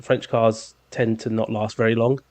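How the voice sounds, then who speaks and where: A man's voice: on the low side, flat delivery, moderate pace, a little mellow, dry recording. A man with a London accent in his thirties, hosting a podcast in a rambling, jokey way with lots of ums. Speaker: podcast host. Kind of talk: casual chat.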